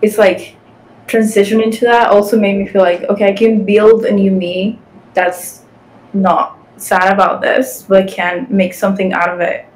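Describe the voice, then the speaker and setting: A young woman with a Spanish accent chats over a video call in her own home, quick and fill-heavy, dropping "like" everywhere. Her voice sits at an ordinary middle pitch, relaxed and conversational. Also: poor audio. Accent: Spanish-speaking. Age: young adult. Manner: chatty, fast, many "like" fillers. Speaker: guest. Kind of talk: casual interview chat. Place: home room with city windows.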